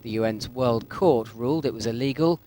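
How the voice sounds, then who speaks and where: Man, medium-pitched voice, scripted news narration, reporter, clear noise behind. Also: fairly quick, dark tone.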